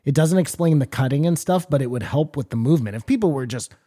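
A man's voice: fairly high pitch, animated, fast talker, dark tone. A man co-hosting a podcast, rambling and speculating in casual chat, swearing freely. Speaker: podcast co-host.

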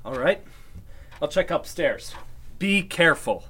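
An actor playing a wary man in an old-style radio mystery, speaking in a measured, theatrical way. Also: on the high side, sing-song, a bit bright, husky, full character voice. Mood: cautious. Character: Alan, wary man helping search a mystery house. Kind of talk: Golden Age style radio drama acting.

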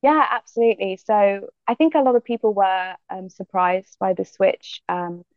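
A young woman athlete from Jamaica, answering interview questions in a relaxed, thoughtful way with many fillers. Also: medium pitch, moderate pace, no room sound, muffled recording. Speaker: guest athlete.

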